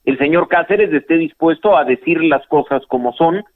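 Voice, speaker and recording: High-voiced man on a tinny phone line. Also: moderate pace, no room sound. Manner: composed, explanatory, with occasional hesitations. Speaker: lawyer and legal analyst guest. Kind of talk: phone interview on radio. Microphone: telephone line.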